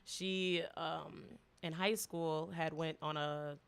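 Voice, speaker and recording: A host in their thirties telling travel stories in a relaxed, casual chat with frequent laughs. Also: mid-range voice, slow, vocal fry, clear, resonant tone. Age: 30s.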